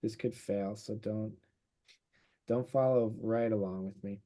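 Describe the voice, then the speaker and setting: A man's voice: on the low side, steady tone, measured pace, warm tone. A man teaching a watercolor demonstration, thinking aloud as he paints, his voice a little worn from losing it. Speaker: painting instructor.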